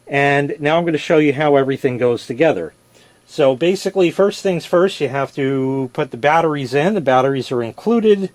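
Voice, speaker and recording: A man gives a casual product review at a mid-range pitch. He explains each step in plain, conversational language, like someone showing gear to a viewer. The sound is dry, with very little room echo. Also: darkish tone.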